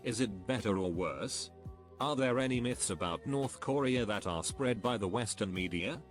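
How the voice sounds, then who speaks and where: A man giving a scripted narration, reading online questions and answers in an even, measured way. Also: lowish voice, soft background music.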